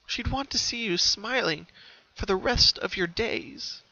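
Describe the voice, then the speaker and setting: A man voicing a gentle, wise old grandmother pony in a story reading, with a soft, soothing, slow and tender delivery. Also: sits high, lively intonation, gravelly, voice pushed up, exaggerated voice. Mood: warm reassurance. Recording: dull, muffled home recording.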